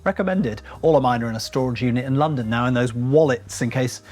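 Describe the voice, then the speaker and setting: A man's voice: mid-range voice, quick pace, darkish tone, faint music behind. A middle-aged man narrating a scripted vlog as host, chatty and self-deprecating, with wry asides. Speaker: YouTube host.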